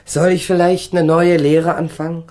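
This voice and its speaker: An actor in a radio crime drama playing a defiant young ex-convict, cynical and remorseless, talking in brash, dismissive slang. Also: male voice, fairly high pitch, level tone, moderate pace, a little mellow, lightly acted. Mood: scornful. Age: young adult.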